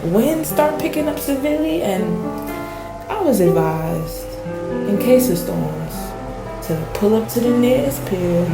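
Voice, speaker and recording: A woman playing a dramatic, awestruck storm survivor, a fisher, in a radio play with drawn-out, emphatic delivery. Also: mid-range voice, close to an everyday voice. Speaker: voice actor. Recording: echoey, with music underneath.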